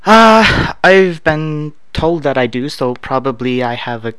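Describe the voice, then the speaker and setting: A relaxed Canadian man in his thirties talks through a list of words and questions with an offhand, dry humor, dropping plenty of uhs. His voice sits at an ordinary pitch and comes across smooth and clear, with a dry, close sound and hardly any room around it. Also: a little slow, dry recording. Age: 30s. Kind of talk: casual accent-tag recording, reading prompts aloud. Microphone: no proper microphone, home setup.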